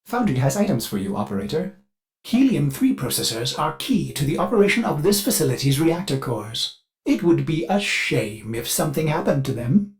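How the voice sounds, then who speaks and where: Fussy, lively male ship computer AI. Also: fairly high pitch, normal speed. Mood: polite, eager. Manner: polite, eager and deferential, breaking into violent outbursts. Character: fussy, devoted ship computer AI, male, with flashes of bloodthirsty rage. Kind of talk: scripted video game character lines.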